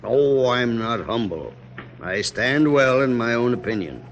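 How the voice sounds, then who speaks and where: A dignified, weary general in middle age, formal and dryly wry. His pitch moves expressively through measured lines. It is an old radio drama recording with some background noise. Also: lively intonation, lo-fi sound. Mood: reflective. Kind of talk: classic radio drama acting.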